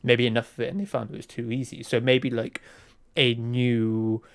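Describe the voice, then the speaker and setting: Man hosting a casual interview, medium pitch. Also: level tone, moderate pace, clear, resonant tone. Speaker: podcast host, interviewer.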